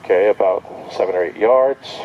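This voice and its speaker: A man's voice: lowish voice, normal speed, dull audio, a little hiss or hum. A man giving a casual hands-on product demonstration outdoors, thinking aloud in short step-by-step remarks. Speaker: product reviewer. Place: outdoor backyard.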